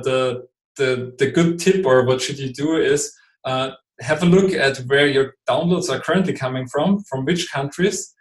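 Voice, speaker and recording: A man from Austria speaks English with an Austrian German accent, his native German clear in the vowels. He explains at an even middle pitch, hesitant, with frequent fillers and restarts. The recording carries a noticeably roomy echo. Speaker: guest expert. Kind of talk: podcast interview.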